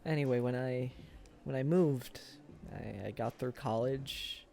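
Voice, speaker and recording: A man playing a wistful, self-deprecating former high school sweetheart in an intimate, halting boyfriend ASMR roleplay. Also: vocal fry, a little mellow. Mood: regretful, tender. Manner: hesitant, halting, chuckles through confessions.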